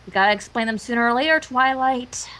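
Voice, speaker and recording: A woman reading character lines aloud amid casual banter, lively and sometimes tripping over words. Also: a little high, quick pace. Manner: animated, stumbles over words. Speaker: fan fiction co-reader.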